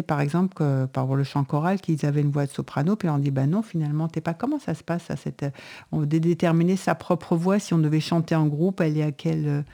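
A woman hosting a conversational interview, feeling her way through halting, rambling questions that she often leaves unfinished. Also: deep voice, gravelly, warm tone. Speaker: interviewer, host.

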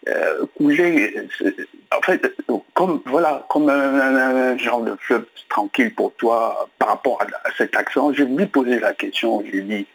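A man calling in by telephone to a radio show, asking a question haltingly with many hesitations. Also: mid-range voice, vocal fry, a bit bright, poor audio. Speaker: caller.

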